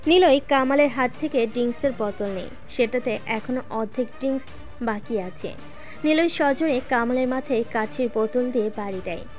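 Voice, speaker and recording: Female storyteller, fairly high voice, steady dramatic narration, faint music. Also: normal speed. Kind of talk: scripted audio story narration.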